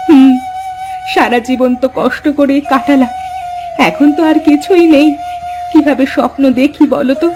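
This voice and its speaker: A woman playing a young village wife from a cartoon fairy tale, high-pitched and expressive, with a plaintive edge and a Bangladeshi accent. She is devoted to her husband, over background music. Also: moderate pace, long pauses, close to an everyday voice. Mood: bitter, weary. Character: young farmer's wife, long-suffering, devoted, hopeful.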